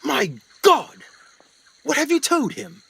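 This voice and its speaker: A man's voice: very high voice, sing-song, takes it slowly, vocal fry, sharp tone, exaggerated voice. Guy acting as a grave educated jungle explorer, radio drama, swoopy dramatic voice, faint noise behind. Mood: alarmed. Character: haunted, educated explorer doctor, grave and anxious. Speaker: actor in a radio play.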